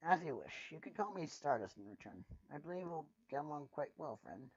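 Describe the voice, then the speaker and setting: A man's voice: on the high side, sing-song, a little fast, husky, voice pushed up, heavily acted. A man voicing a guarded, self-deprecating pony newcomer with a formal, reserved manner in a storybook reading. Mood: formal, polite. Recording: muffled, roomy home recording.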